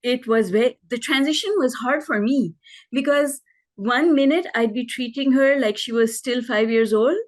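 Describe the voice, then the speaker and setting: A middle-aged woman from Pakistan, a guest on a podcast, telling her life story in relaxed conversation and laughing often. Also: a little high, moderate pace. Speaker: guest, life coach and author.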